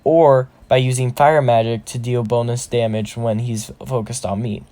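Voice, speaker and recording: A man's voice: mid-range voice, fairly even intonation, normal speed, mellow, lightly acted. A man voicing a confident, cheeky young male adventurer in a casual, plain-spoken way for a fantasy story. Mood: planning.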